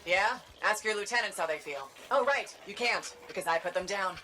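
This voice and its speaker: A woman's voice: medium pitch, animated, moderate pace, noisy background, lightly acted. A woman playing a confident, wisecracking mercenary hero in a video game, casual and sardonic. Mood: defiant. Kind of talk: naturalistic video game acting. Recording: voice under loud music.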